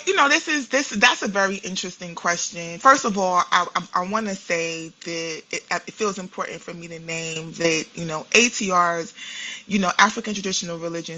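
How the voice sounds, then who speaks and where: Woman, New York accent, middle-aged, bright tone, dry sound, thoughtful, explanatory. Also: on the low side, no echo, poor audio. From Guyana, raised in New York City. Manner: thoughtful, explanatory, frequent "you know" and "right?". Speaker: podcast guest, social worker and spiritual practitioner. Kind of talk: casual interview talk.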